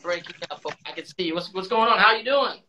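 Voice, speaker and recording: A man's voice: high-pitched, expressive, quick pace, sharp tone, highs cut off. Man hosting a call-in chat, laid-back, friendly, easygoing. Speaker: host. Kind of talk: casual live-stream chat.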